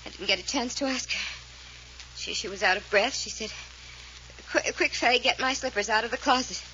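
An actress playing a frightened young woman in a 1940s radio mystery, halting and emotional, on a muffled old recording. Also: a little high, sharp tone, a little hiss or hum. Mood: shaken.

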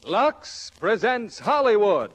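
High, grand male radio announcer over music. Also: very animated, slow pace. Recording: dull old radio recording, loud music.

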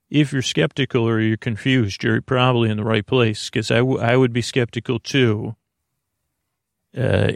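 A man with a creaky voice hosting a bedtime podcast in a soothing, meandering monologue, halting and stammering through false starts. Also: medium pitch, dry recording, studio quality. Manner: lulling, soothing. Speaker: podcast host.

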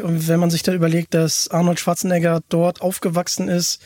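A man hosting a podcast, chatting casually and enthusiastically, often halting and repeating words as he asks questions. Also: sits high, darkish tone, no echo, studio quality. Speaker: podcast host. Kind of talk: casual chat, interviewing.

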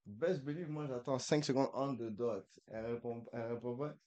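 A man's voice: mid-range voice, fairly even intonation, normal speed, mellow, dull audio. Slangy man hosting a podcast. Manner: slangy, mixing French and English. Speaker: podcast host. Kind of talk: casual chat, prank-style phone calls.

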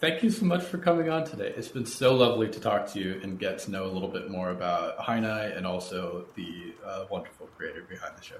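A man's voice: sits low, a little fast, hardly any pauses. A man hosting an interview podcast with an atmospheric storyteller's delivery. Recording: roomy echo, audible background noise.

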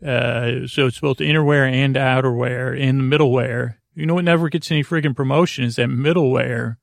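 A man with a creaky, gravelly voice talks in a flat, even murmur, drifting off on tangents and interrupting himself. He hosts a bedtime podcast and offers gentle reassurance. The sound is dry and close, with faint music underneath. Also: brisk, no room sound. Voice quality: creaky, low-key delivery. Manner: rambling, self-interrupting, goes off on tangents. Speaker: sleep podcast host. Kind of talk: meandering bedtime monologue.

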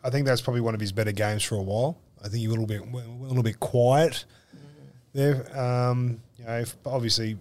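A man's voice: medium pitch, fairly even intonation, a little fast, a little mellow. A man co-hosting a sports podcast, chatting casually and rambling about players with easy laughs. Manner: laid-back, rambling, laughs easily. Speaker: podcast co-host.

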